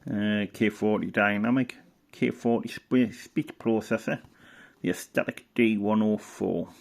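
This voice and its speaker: Male hobbyist presenter, lowish pitch with heavy vocal fry, off-the-cuff and halting delivery. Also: fairly even intonation. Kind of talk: casual unscripted commentary while browsing a catalogue.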